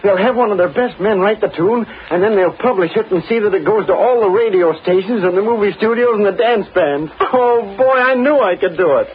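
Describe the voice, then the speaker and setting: An actor playing a naive, hopeful young man in an old-time radio drama, boyish, earnest and excitable. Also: sits high, a little fast, hardly any pauses, slightly rough. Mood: excited. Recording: tinny old radio broadcast, faint noise.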